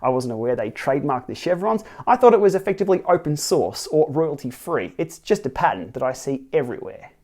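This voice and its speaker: A man's voice: a little high, fairly quick, hardly any pauses. Middle-aged aussie bloke at his desk, earnest but wry, half reading a script to camera, close mic. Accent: Australian. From Australia. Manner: earnest and wry, lively emphatic delivery with dry asides. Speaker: cycling tech YouTuber. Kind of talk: scripted to-camera explainer, partly read. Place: small home studio with city backdrop, indoors.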